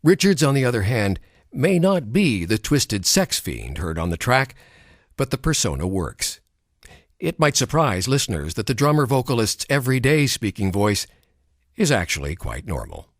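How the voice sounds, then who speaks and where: A man reads a scripted documentary narration in a studio booth. His pitch rises and falls with a lot of movement, and his delivery is measured and expressive. The sound is close and dry, with no room echo. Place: recording studio. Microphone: studio microphone.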